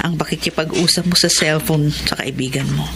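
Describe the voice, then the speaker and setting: An actress playing a calm, forgiving but firm wife and mother with a Filipino accent in a radio drama. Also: deep voice, brisk, creaky, noisy background. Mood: sad, admonishing.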